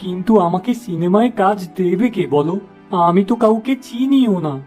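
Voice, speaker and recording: A man's voice: high-pitched, moderate pace, darkish tone, soft background music, lightly acted. A man voicing a gullible, starry-eyed young village shop lad in a cartoon, eager and earnest. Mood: modest. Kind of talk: Bengali cartoon fable voice acting.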